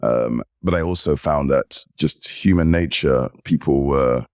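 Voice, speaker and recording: English man, forties, podcast guest; animated storyteller, very low voice, dry sound. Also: unhurried, no echo. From United Kingdom. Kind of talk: casual interview chat.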